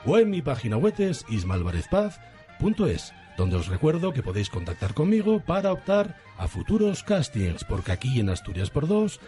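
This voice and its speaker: A man's voice: mid-range voice, huge pitch swings, normal speed, mellow, soft background music. A man with a Spain accent hosting and narrating a parody radio soap in dramatic scripted style. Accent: Spanish (Spain). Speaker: radio show host and narrator.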